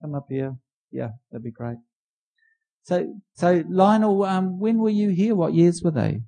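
A man leading a church service, welcoming a guest and praying in an informal, halting way. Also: high voice, expressive, gravelly, dark tone, rough recording. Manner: informal and halting, many restarts. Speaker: church leader.

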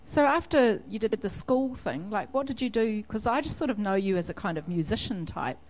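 A woman's voice: mid-range voice, expressive, normal speed, darkish tone, poor audio. A woman leading a church service, who chats in a friendly way with a guest and leads a prayer.

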